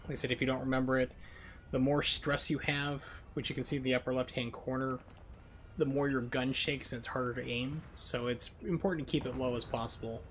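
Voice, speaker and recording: Guy streaming a game, chatting casually and explaining stuff, average pitch, some clear noise behind him. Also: level tone, fairly quick, fairly bright tone. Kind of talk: casual live gameplay commentary.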